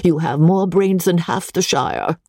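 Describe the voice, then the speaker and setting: A reader voicing a shrill, imperious elderly spinster who is wealthy and witty, in a storybook reading. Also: unhurried, a little mellow, dry recording, studio quality, close to an everyday voice. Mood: fond, teasing. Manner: commanding, teasing.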